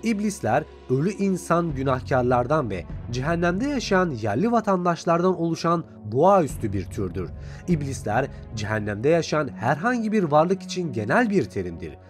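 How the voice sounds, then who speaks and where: A man's voice: a little high, sing-song, normal speed. A man reading scripted recap and review narration as a video narrator. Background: background music.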